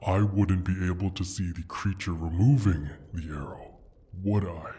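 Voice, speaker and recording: A man playing a proud, injured dragon in a fantasy audio roleplay, menacing and pompous, with a husky, breathy rasp. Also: sits low, fairly even intonation, mellow. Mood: grudging, sly. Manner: pompous, disdainful, halting theatrical delivery.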